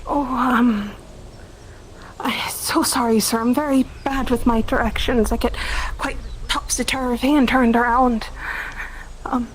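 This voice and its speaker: A woman playing a timid, polite townswoman in a roleplay game, hesitant, halting and apologetic. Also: a little high, fairly even intonation, slight background noise, close to an everyday voice, poor audio. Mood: timid, flustered. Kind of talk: live improvised roleplay acting.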